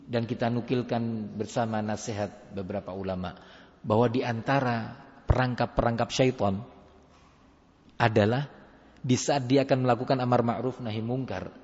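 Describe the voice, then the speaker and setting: A man preaching an Islamic lecture, deliberate and emphatic, repeating phrases and mixing in Arabic terms. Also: medium pitch, a little mellow. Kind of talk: sermon, religious lecture. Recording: dull, reverberant hall recording.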